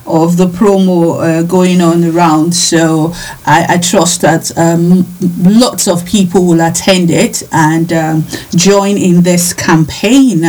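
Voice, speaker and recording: A woman's voice: lowish voice, fairly even intonation, takes it slowly, darkish tone, poor audio. A woman hosting an interview, friendly and encouraging, who laughs often. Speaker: radio host. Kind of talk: interview on air.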